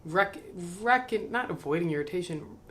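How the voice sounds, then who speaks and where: Musing male host reading to camera: slightly high voice, slow self-questioning asides, faint hiss behind. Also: animated, a bit bright. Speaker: channel host reading a book aloud. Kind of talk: reading aloud with reflective commentary.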